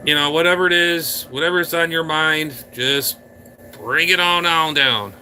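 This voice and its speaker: Bright-voiced man chatting to his live viewers. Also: a little high, unhurried, a little hiss or hum, rough recording. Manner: rambling, playful, talks straight to viewers. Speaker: live stream host. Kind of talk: casual live stream chat. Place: indoor room.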